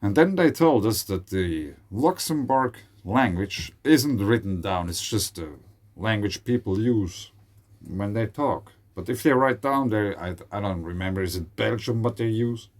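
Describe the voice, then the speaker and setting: Slow-talking Swiss German man. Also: lowish voice, expressive. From Switzerland. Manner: rambling, hesitant, with frequent fillers. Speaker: video creator. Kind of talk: casual monologue.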